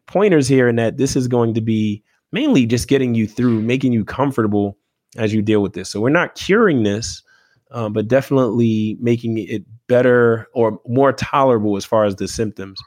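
A man's voice: mid-range voice, no echo. Man explaining medicine in a dry, close recording. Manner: measured, teaching tone with frequent "right?" and "um". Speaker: medical expert co-host. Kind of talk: explanatory health podcast talk.

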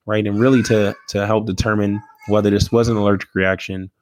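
A man explaining health topics in a measured, teaching way, often checking in with "right?" and filling pauses with "um.". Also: fairly low pitch, flat delivery, slight background noise. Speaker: medical expert co-host. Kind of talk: explanatory health podcast talk.

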